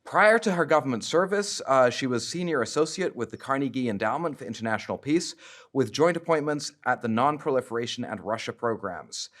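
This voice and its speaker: Male host at a university podium reading formal introductions, slightly halting. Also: mid-range voice, animated, a bit bright. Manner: reads bios with frequent "uh" pauses. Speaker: event host introducing speakers. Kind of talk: formal introduction at a public talk. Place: university lecture hall. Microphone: podium microphone.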